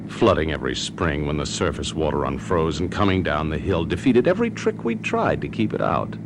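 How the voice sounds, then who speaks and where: Deep-voiced, coaxing, scheming husband. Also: male voice, big pitch swings, brisk, background noise, full character voice. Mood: brooding, calculating. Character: smooth-talking scheming husband, coaxing, secretly murderous. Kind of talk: old-time radio mystery acting and narration.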